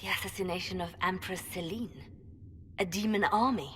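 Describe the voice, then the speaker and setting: A woman's voice: medium pitch, normal speed, lightly acted. A woman playing a shrewd, principled advisor in a video game, controlled and pointed. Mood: cool, calculating. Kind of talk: video game cutscene acting. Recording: reverberant hall, faint ambient noise.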